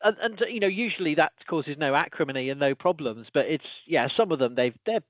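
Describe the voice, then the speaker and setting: Animated man, thin dry sound. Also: on the high side, brisk, no echo, lo-fi sound. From lives in Wales. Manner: animated, self-interrupting, exasperated asides. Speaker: podcast host, archaeology professor. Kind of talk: casual conversation.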